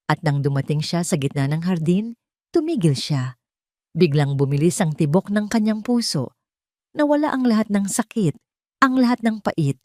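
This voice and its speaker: A woman's voice: lowish voice, darkish tone, no echo, clean studio sound. A woman with a Filipino accent narrating a scripted romantic drama in a solemn, melodramatic way. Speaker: storyteller. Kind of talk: scripted dramatic audio love story narration.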